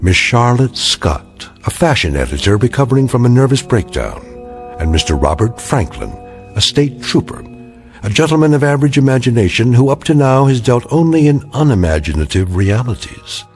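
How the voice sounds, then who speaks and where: An actor playing the wry, portentous narrator of an eerie radio drama, speaking with deliberate menace. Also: male voice, mid-range voice, sing-song, faint music behind, lightly acted. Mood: ominous, wry. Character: ominous, wry male narrator of uncanny tales.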